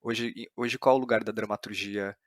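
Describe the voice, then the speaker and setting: A man with a Brazilian accent hosting an interview podcast in an informal, slightly halting conversational way. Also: fairly low pitch, fairly even intonation, no room sound, studio quality.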